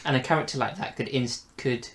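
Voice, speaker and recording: A man's voice: mid-range voice, brisk, fairly bright tone. A man hosting a casual review discussion, talking conversationally. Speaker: podcast review host.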